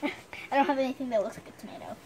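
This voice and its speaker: A woman's voice: high voice, quick pace, very noisy. A woman reading a storybook aloud with chatty, self-deprecating asides, stumbling over the odd word.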